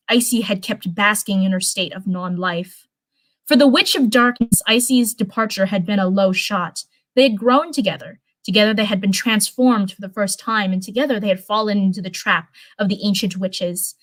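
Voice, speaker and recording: Female live-stream reader, mid-pitched, casual story narration with informal, chatty delivery. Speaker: streamer reading fan fiction live.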